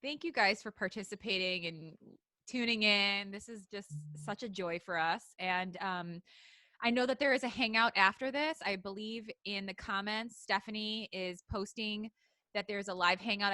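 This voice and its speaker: A woman's voice: mid-range voice, normal speed, pushed and bright, dry recording. A woman co-hosting a casual live podcast in a playful, enthusiastic way. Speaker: podcast co-host.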